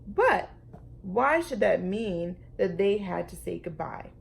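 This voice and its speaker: A woman storytime reader with a noticeably creaky voice at an average pitch. She reads a children's book expressively, placing deliberate, dramatic emphasis on phrases. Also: expressive.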